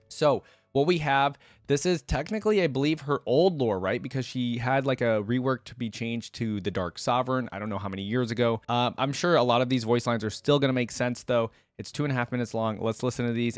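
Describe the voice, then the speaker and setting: A man's voice: mid-range voice, no echo. Male reaction host, informal and chatty, mid-range voice, dry room. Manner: informal, chatty, addresses viewers directly. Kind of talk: casual commentary mixed with reading lore aloud.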